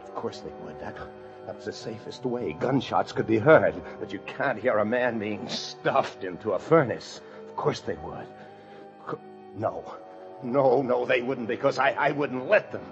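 Paranoid hunted husband in an old radio thriller, low voice, full of dread, muffled old recording. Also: male voice, expressive, a little slow, soft background music. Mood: panicked, paranoid. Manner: intense, breathless dread, swinging from brooding to frantic shouts. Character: desperate, paranoid fugitive husband confined in a locked room. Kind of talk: old-time radio thriller acting, first-person narration.

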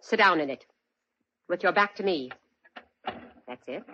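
A woman's voice: high-pitched, sing-song, normal speed, fairly bright tone, a little hiss or hum. An actress playing a society lady who flutters and giggles, then turns into a cold armed villain, in an old radio drama. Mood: menacing. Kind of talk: 1940s radio acting. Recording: dull lo-fi old radio recording.

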